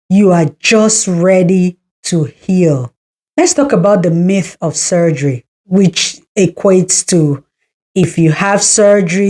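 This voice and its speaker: Slow, soft-spoken woman from Cameroon. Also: medium pitch. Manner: slow, reflective, encouraging. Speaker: podcast host. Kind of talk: personal storytelling monologue.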